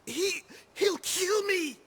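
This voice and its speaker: An actor playing a terrified captive henchman in a video game, stammering and pleading desperately in a raspy voice. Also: very high voice, slow pace, pushed and bright, heavily acted. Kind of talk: dramatic video game acting.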